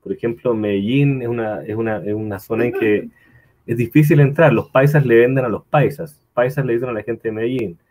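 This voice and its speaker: A middle-aged Chilean man with a Chilean accent gives a webinar talk in a relaxed, conversational way. His voice sits at an ordinary middle pitch. He restarts phrases often and keeps checking in with "¿vale?". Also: fairly quick. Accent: Chilean, slightly mixed after living in Colombia. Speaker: guest speaker, consultancy founder.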